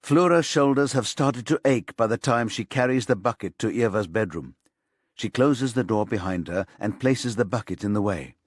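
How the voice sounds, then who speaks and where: A man with a fairly low voice narrates a crime thriller audiobook. His delivery is measured and scripted, and the recording is very dry and close, with no room sound. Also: no room sound.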